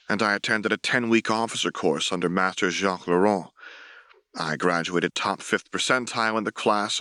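A man voicing an earnest, self-effacing young military officer in a formal, measured way for a dramatic audiobook. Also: sits low, bright, forceful tone, no room sound. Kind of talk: dramatic audiobook dialogue reading.

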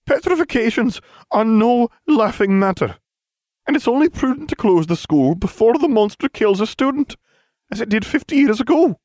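Stern older headmistress put on by a man, clipped and exasperated, raised high and loud, dry sound. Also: animated, normal speed, no echo.

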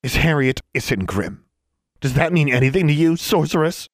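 Guy doing a vengeful teen witch girl for a storybook, snarly and shouty, pitched way up, dry sound. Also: lively intonation, normal speed, no echo, lightly acted. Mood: menacing, rising anger. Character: furious, vengeful teenage witch bully girl.

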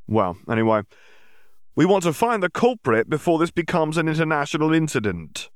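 A man's voice: fairly high pitch, sing-song, normal speed, no echo, studio quality, voice pushed up. A man voicing a blunt, impatient Auror.

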